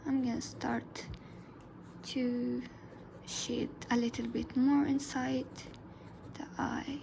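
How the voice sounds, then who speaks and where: A woman whose first language is Arabic, narrating a drawing tutorial softly, often dropping into a whisper. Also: sits high, little pitch movement, slow, creaky, noisy background. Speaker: art tutor.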